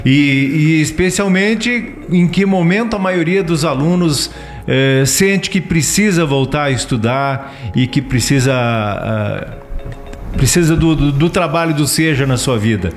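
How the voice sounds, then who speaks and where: A man's voice: a little high, a little slow. A man with a Brazilian accent hosting a casual interview, informal and encouraging with the guests. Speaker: interview host. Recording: roomy echo, music behind.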